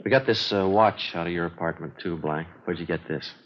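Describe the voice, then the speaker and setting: An American actor playing a terse, businesslike police detective sergeant, narrating in a flat, matter-of-fact way in a vintage radio crime drama. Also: male voice, deep voice, brisk, a little hiss or hum, natural voice. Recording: dull vintage radio recording, loud music.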